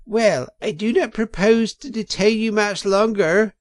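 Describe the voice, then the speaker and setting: High squeaky, smug weasel. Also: male voice, measured pace. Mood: mocking, smug. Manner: mock-formal speechmaking. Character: mocking, smug male chief weasel, a squeaky orator. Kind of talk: audiobook character voice.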